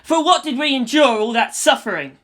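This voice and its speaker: A woman's voice: high voice, animated, moderate pace, fairly bright tone, pitched above the performer's own voice. Woman playing an urgent, raised-voice teenage boy pilot. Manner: urgent, pleading, emphatic. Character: earnest determined teenage boy mecha pilot.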